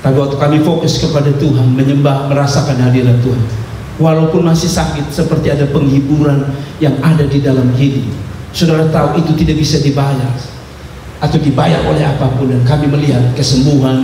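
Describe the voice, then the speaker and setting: A man preaching a sermon, telling a personal story and acting out the dialogue, moving between shouts and low asides. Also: fairly even intonation, brisk, slight background noise. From Indonesia. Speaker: pastor. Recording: echoey hall, voice from a distance.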